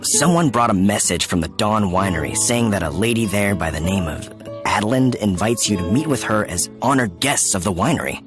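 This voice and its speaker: A man's voice: lowish voice, animated, a little fast, over music, close to an everyday voice. A man voicing a plain-spoken, friendly villager in a video game. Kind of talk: video game character acting.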